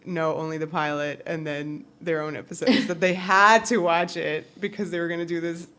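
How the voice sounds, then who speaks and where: A woman's voice: lowish voice, steady tone, moderate pace, a bit bright. Giggly woman podcaster in casual chat. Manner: giggly, conversational, peppered with "like". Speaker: podcast co-host.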